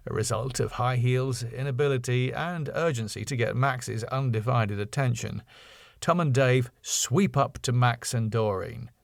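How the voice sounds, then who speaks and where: A man's voice: medium pitch, gravelly, dry recording, clean studio sound. A man narrating a comedy script, reading out scene headings and stage directions. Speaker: writer and narrator.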